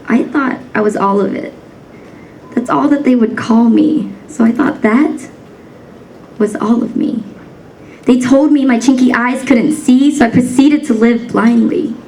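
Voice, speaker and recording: A woman's voice: on the high side, unhurried, a little mellow, far from the mic, a little hiss or hum, low-quality recording. A young woman from the United States performing a spoken word poem in a rhythmic, rhyming cadence, emphatic and defiant. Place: banquet hall stage.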